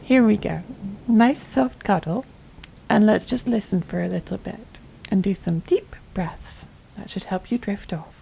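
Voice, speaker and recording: A woman playing a tender, doting mother in a soft-spoken ASMR bedtime roleplay, gentle, soothing and affectionate, often dropping to a whisper. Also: medium pitch, unhurried, vocal fry, slight background noise, low-quality recording. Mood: soothing, hushed. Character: tender, doting mother soothing her little girl to sleep.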